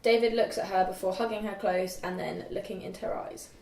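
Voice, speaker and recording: A young woman reading screen directions as narrator at a script table read, halting and stumbling a little over the text. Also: medium pitch, steady tone, vocal fry, noisy background. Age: young adult. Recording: echoey room.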